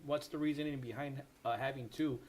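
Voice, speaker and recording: A man's voice: mid-range voice, steady tone, brisk, a little mellow. A board trustee, a man, making motions and asking questions, often restarting phrases.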